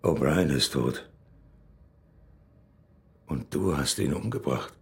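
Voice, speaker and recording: An actor playing an irritable, easily rattled crook in a German radio crime drama, with a gruff, blustering manner. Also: male voice, extremely low pitch, expressive, slow, very noisy, heavily acted.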